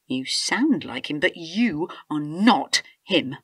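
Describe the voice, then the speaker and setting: An actress playing a distrustful, fearful, distraught wife in a radio drama. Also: female voice, low-pitched, expressive, takes it slowly, a bit bright, breathy edge, no echo, clean studio sound, heavily acted. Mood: suspicious, tense.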